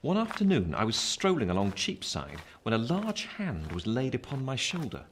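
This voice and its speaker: An actor playing an earnest, reflective gentleman narrating his own story in measured, literary style for a radio play. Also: mid-range voice, huge pitch swings, slight background noise. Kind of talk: scripted first-person radio play narration.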